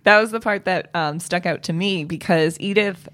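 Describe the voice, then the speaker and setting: A woman with a somewhat low voice co-hosts a comedy podcast in a loose, casual way. She rambles as she talks, circling back with lots of fillers and restarts, and her pitch sits on the lower side for a woman. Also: normal speed, a bit bright. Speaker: podcast co-host.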